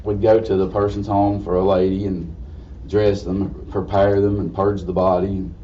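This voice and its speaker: Man, slightly raspy, dark tone, halting folksy lecture, dull recording with faint noise. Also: sits low, little pitch movement. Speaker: guest lecturer. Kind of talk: informal history lecture. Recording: distant mic, dull muffled sound, faint noise.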